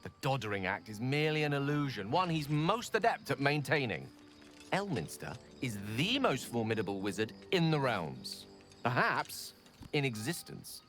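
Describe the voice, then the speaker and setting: A man's voice: mid-range voice, very animated, normal speed, fairly bright tone, faint music behind. A man acting a weary, eloquent wizard facing his death in a video game, speaking in a formal, reflective and articulate manner. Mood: admiring, grave.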